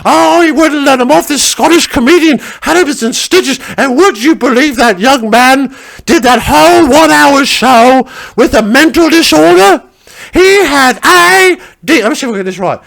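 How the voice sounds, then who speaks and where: A man playing a chatty, crude older working-class woman who rambles through a story and shouts for her husband and dog. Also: very high-pitched, animated, moderate pace, vocal fry, exaggerated voice. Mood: gossipy. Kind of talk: stand-up character monologue.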